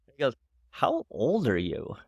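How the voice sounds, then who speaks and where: A man in his forties telling a story in casual podcast chat, animated and laughing often. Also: mid-range voice, measured pace, breathy edge, dry recording, highs cut off. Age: 40s. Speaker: podcast co-host.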